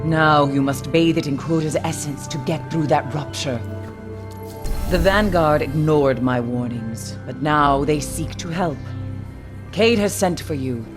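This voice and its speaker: A haunted, obsessed mystic woman from a video game. She speaks solemnly and formally, with an ominous weight. Loud music swells behind her voice. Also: low voice, unhurried. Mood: grave. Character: grim, obsessed female mystic haunted by the Hive.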